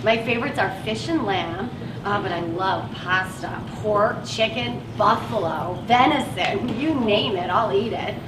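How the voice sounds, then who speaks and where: A woman's voice: mid-range voice, measured pace, close to an everyday voice. An actress playing a patient, kindly woman bus driver in a live stage play, speaking in a reassuring, conversational way. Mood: chatty. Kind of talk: live one-act stage play dialogue. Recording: echoey stage far off, noisy audience.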